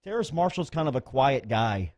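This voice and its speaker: A man's voice: medium pitch, big pitch swings, normal speed, darkish tone. A man hosting a sports radio show, interviewing in a conversational style.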